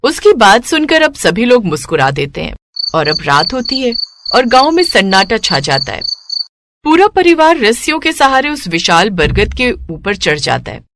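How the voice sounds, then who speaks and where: A woman's voice: fairly high pitch, big pitch swings, normal speed, noisy background. A woman narrating a scripted moral story as a storyteller.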